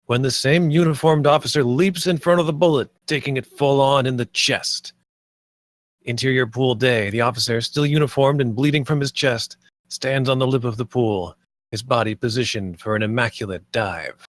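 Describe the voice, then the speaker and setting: A man's voice: medium pitch, fairly even intonation, normal speed, no room sound. A man reading stage directions as scripted narration at a screenplay table read.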